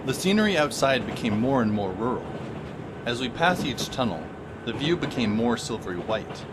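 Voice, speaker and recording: A man's voice: mid-range voice, sing-song, normal speed, noisy background, lightly acted. A man narrating as a wry teenage boy. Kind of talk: audio drama narration.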